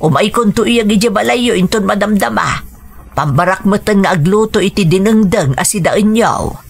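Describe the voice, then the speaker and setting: An actress with a northern Philippine accent playing a friendly, generous middle-aged village aunt in a radio drama. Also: female voice, mid-range voice, moderate pace, vocal fry, breathy edge. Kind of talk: Ilocano radio drama acting.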